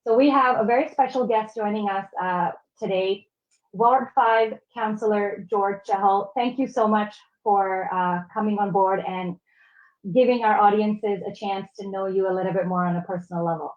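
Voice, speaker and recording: A woman's voice: medium pitch, fairly even intonation, normal speed. A young adult woman hosting a web interview show, upbeat and enthusiastic as she brings in her guest. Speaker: host. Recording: echoey, dull video call audio.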